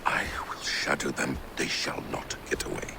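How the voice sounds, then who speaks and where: An actor playing a grim, loyal warrior in a dramatic video game scene. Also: male voice, normal speed, whispered, close to an everyday voice. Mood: grave, resolute. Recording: noisy game ambience behind.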